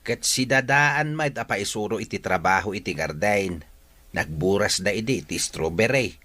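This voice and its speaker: A man's voice: on the low side, moderate pace, bright, forceful tone, no room sound. A man acting a hearty, generous middle-aged uncle in an Ilocano radio drama, with an Ilocano accent. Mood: gossipy.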